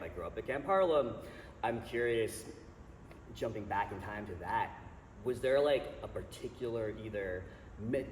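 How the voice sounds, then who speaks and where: A male host and songleader chatting in a relaxed way, laughing easily as he tells a story and asks questions. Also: medium pitch. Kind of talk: casual interview chat. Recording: distant mic in echoey hall, faint noise.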